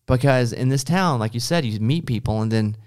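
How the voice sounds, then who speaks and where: A man's voice: medium pitch, a little fast, a little mellow. A man hosting a podcast, chatting casually and acting out little imagined quotes as he talks. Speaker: podcast host. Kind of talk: casual interview chat.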